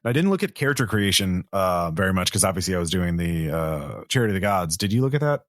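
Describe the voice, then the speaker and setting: A man with a fairly deep voice talks casually as a podcast host. His phrasing is informal and a little halting as he explains, and the sound is very dry, with no room around it. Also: big pitch swings, no room sound. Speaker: podcast host reviewing tabletop games.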